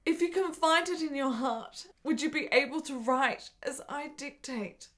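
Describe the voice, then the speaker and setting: A woman's voice: high-pitched, normal speed, a bit bright. A woman playing a sickly, desperate, intense young woman in a period radio drama, with formal, literary, emotionally fraught diction. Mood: frail, earnest.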